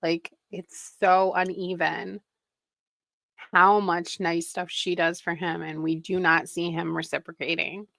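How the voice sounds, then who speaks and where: Slow, gravelly woman reacting on stream. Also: lowish voice, fairly bright tone, dry recording. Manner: critical, wry, chuckling asides. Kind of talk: casual reaction commentary.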